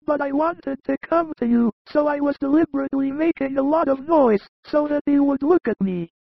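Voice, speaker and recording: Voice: sits high, normal speed, vocal fry, no echo. A performer playing a frank, mischievous small boy in a scripted audiobook drama, candid and insistent. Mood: frank, defiant. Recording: slightly muffled, dry recording.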